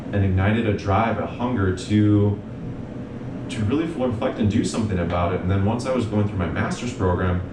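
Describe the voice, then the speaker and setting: Earnest man in an echoey room. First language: English. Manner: earnest, measured, storytelling. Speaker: guest, mental health provider. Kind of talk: reflective interview talk. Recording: echoey room, distant mic, noisy rumble.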